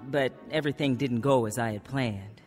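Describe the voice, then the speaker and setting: A woman's voice: very deep voice, moderate pace, a little mellow. An actress playing an earnest, determined young woman who recounts her student years in a radio drama. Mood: reflective. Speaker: narrator and lead actor. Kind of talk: radio drama acting and first-person narration. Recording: reverberant radio drama with music.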